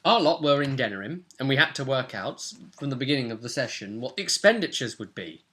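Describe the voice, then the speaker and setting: A man's voice: mid-range voice, very animated, fast talker, fairly bright tone. A young man, a game master, casually recapping a tabletop roleplay session at home. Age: young adult. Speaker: game master and vidcast host. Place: small room at home, indoors.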